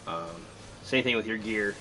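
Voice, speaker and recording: A man's voice: on the low side, a little slow, pushed and bright, slight background noise, low-quality recording. A man casually commentating on his live game stream, chatty and self-deprecating, thinking aloud as he plays.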